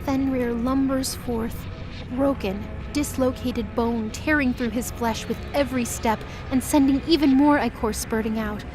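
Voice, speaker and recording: A woman narrates a dramatic scripted story. Her voice sits a little high, with music clearly playing behind her. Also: fairly even intonation, a little slow.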